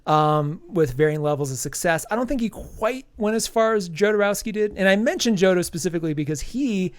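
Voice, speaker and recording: A man's voice: sits high, animated, fairly quick, no room sound, studio quality. A man hosting a podcast, casually reviewing a comic with a stuffy nose and lots of ums and restarts. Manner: rambling, many ums and restarts.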